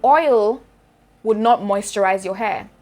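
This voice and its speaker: A woman's voice: medium pitch, takes it slowly, warm tone. A young Nigerian woman with a Nigerian accent, giving chatty, direct hair care advice to her viewers in a casual vlog. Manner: chatty, direct, addresses viewers as sis and guys.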